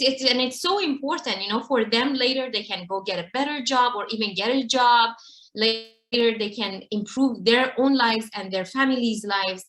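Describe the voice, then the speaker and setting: A woman's voice: on the high side, a bit bright, roomy sound. A woman, Farsi speaker, talking earnestly as an interview guest and often restarting her sentences. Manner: earnest, many restarts and repeats. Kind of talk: casual interview talk.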